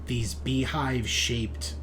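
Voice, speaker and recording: Male game master, mid-pitched, improvised descriptive narration and loose chat, some background noise. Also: fairly even intonation, slow.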